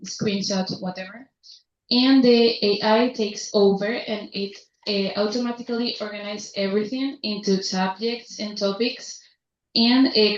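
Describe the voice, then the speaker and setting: A young woman from Spain, a student presenting at a conference who pauses and corrects herself. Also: mid-range voice. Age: young adult. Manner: halting, stops to correct herself. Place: conference hall. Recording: echoey hall, distant muffled mic.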